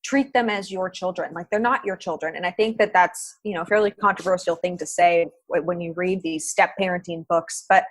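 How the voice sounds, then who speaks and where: A woman's voice: on the low side, fairly even intonation, fairly quick, few pauses, no room sound. A young adult woman, a blogger guesting on a podcast, talking in an upbeat, confident way in full sentences. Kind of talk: casual interview chat.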